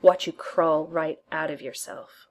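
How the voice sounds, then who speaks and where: Smug male inventor, teasing and low, read by a woman. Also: fairly even intonation, a little slow. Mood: seductive whisper. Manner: low murmured whisper, then breezy and cheerful. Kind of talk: fan fiction audiobook reading.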